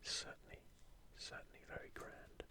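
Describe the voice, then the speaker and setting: Hushed, breathy ASMR whisperer. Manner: hushed, unhurried, rambling asides. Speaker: ASMR video host. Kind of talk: whispered ASMR commentary on an art book.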